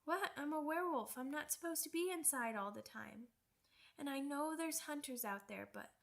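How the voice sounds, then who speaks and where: A woman voicing an excitable, stubborn young werewolf pup in an ASMR roleplay, with childish, halting, repetitive speech. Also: high-pitched. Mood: restless, frustrated. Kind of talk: ASMR character roleplay audio drama.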